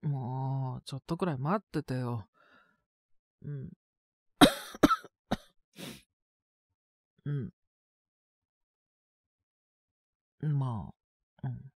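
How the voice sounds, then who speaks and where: A man playing a young student with a cold in a voice drama, husky and prone to coughing, speaking in a casual, hesitant, youthful way. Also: medium pitch, drawn-out words, long pauses, no echo, clean studio sound. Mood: exasperated, embarrassed. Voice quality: husky, with frequent coughing fits. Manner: casual youthful speech, trailing hesitant phrases. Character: young male student with a cold, polite, mildly exasperated. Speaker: voice actor. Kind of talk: one-sided situation voice drama.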